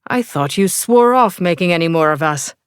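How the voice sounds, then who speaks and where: A woman's voice: fairly low pitch, lively intonation, normal speed, clear, resonant tone, no room sound, studio quality, close to an everyday voice. A woman voicing a teasing young male vampire with an English accent, playful and mocking. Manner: playful and mocking, sing-song.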